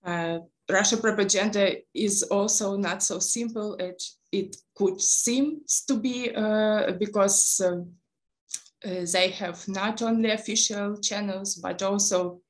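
A young woman from eastern Ukraine with a Ukrainian accent, speaking as a guest in an interview, hesitant with many pauses and fillers. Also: medium pitch, poor audio. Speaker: guest speaker, Fulbright coordinator. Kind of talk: interview conversation.

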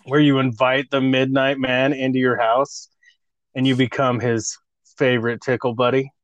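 A man's voice: medium pitch, little pitch movement, normal speed, dry recording, muffled recording. A man in his thirties co-hosting a casual podcast, joking crudely and laughing often. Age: 30s. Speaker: podcast co-host.

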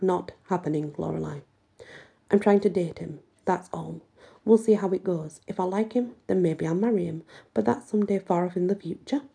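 A woman's voice: fairly low pitch, normal speed, vocal fry, warm tone, husky. A woman voicing a defiant teenage girl in a storybook, firm and casual. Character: defiant teenage girl, nearly adult, standing up to aunts.

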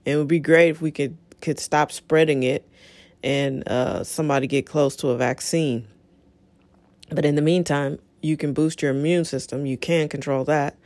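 Low-key scratchy-voiced woman host, dry close sound. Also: fairly even intonation, normal speed, darkish tone, dry recording. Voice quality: a little scratchy. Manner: conversational, encouraging, rambling asides. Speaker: show host. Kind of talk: casual solo talk to listeners.

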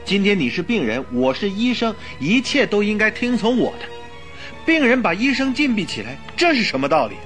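An actor playing an exasperated but loyal doctor in a radio drama, with a protesting, questioning manner. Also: male voice, sits high, sing-song, fairly quick, fairly bright tone, soft background music, exaggerated voice. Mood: puzzled, protesting. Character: exasperated, loyal male doctor, the detective's friend.

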